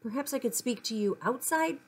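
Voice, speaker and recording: A woman's voice: a little high, animated, brisk, breathy edge, voice pushed up. A woman voicing an anxious, polite and earnest schoolboy in a storybook reading. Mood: nervous, polite.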